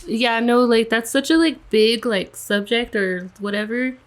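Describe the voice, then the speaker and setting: A young woman in her twenties co-hosts a relaxed podcast with her partner. Her talk is loose and profane, full of fillers and quick laughs. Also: fairly high pitch. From United States. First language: Spanish. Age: 20s. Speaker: podcast co-host. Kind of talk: casual chat.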